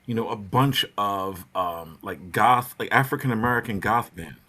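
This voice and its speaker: A man's voice: fairly low pitch, moderate pace, pushed and bright. A man co-hosting a podcast, chatting casually in an impassioned, opinionated way with lots of conversational filler. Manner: impassioned, ranting, peppered with "you know". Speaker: podcast host.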